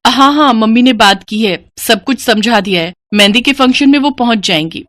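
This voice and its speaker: A woman voicing an anxious, complaining young bride-to-be in an animated cartoon story. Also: a little high, fairly quick, dry recording, close to an everyday voice. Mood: polite, uneasy. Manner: fretful, complaining.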